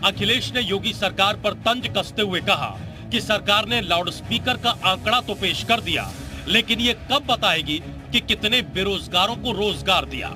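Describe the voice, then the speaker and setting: A man with a high voice narrates a news report. His delivery is scripted, in the manner of a TV reporter, and music plays clearly underneath. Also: expressive, moderate pace.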